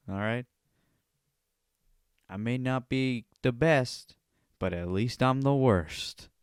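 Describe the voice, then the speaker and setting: A man hosts a podcast alone, rambling crude jokes and laughing at himself. He speaks slowly, and his voice is smooth and clear. The sound is dry and close, with no room around it. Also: medium pitch, a bit bright, dry recording. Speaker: podcast host. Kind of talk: rambling comedic solo podcast. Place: home studio.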